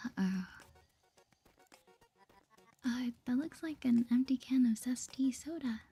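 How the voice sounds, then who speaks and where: A woman softly whispering an ASMR game playthrough in a gentle, playful way, reading dialogue aloud and thinking out loud. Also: sits high, lively intonation, takes it slowly, faint music behind. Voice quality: breathy, mostly whispered. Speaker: gaming video host.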